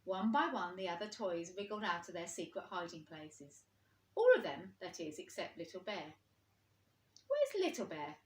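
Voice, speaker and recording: A woman's voice: fairly low pitch, moderate pace. A woman reading a storybook aloud to young children like a teacher, expressively and with questions for her listeners. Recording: roomy, echoing indoor sound.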